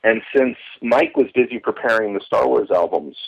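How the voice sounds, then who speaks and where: A man in conversation as an interview guest, telling stories from memory in an animated way over a phone line. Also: fairly low pitch, normal speed, vocal fry. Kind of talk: conversational reminiscing about radio drama. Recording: muffled, band-limited phone line.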